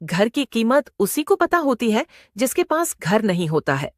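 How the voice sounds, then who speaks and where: Woman voicing an earnest, lively cartoon mother elephant. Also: on the high side, measured pace, no room sound, clean studio sound. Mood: selfless, earnest. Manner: earnest, explanatory. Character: kind, selfless mother elephant. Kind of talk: Hindi animated moral story dialogue.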